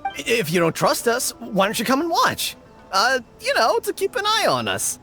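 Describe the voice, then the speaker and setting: A man voicing an excitable, scheming, cowardly young gang underling in a video game, blustering and stammering. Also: very high voice, normal speed, vocal fry, faint music behind, heavily acted. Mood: sly, defensive.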